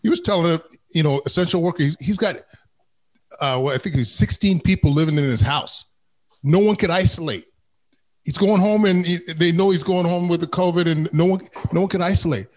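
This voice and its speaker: A man's voice: fairly high pitch, fairly quick. A man talking casually and emphatically in a discussion, colloquial and checking that the listener follows.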